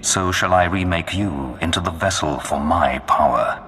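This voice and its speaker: An actor voicing a menacing Sith lord in a video game, speaking in a grandiose, commanding way. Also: male voice, deep voice, normal speed, fairly bright tone, slight background noise. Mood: imperious. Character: menacing male Sith lord of a dark empire. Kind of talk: video game villain acting. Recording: long cavernous reverb.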